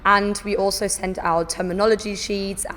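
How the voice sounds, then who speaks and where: A woman on the training staff explaining the onboarding process in a measured, explanatory way during a webinar. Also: mid-range voice, little pitch movement, fairly bright tone, slight background noise. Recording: long hall reverb.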